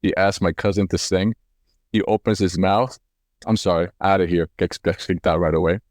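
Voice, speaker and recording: A man from Colombia in his forties speaks in a deep voice, with very little room sound. He tells personal stories in a casual, reflective interview style, sometimes repeating words as he finds the next thought. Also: steady tone, normal speed, dry recording, studio quality. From Cali, Colombia. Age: 40s. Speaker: company CEO being interviewed. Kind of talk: casual autobiographical storytelling in an interview.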